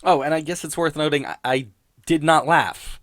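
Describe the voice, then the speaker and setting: A man's voice: a little high, moderate pace, a bit bright, no room sound. Sardonic, rambling man in a dry recording. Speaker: podcast host and TV critic. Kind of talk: casual unscripted rant reviewing a TV show.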